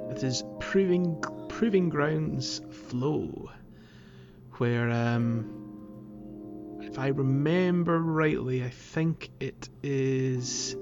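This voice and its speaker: Man chatting on a dull-sounding gaming podcast. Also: medium pitch. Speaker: podcast host. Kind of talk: casual gaming podcast chat. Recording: dull lo-fi audio with music behind. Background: music.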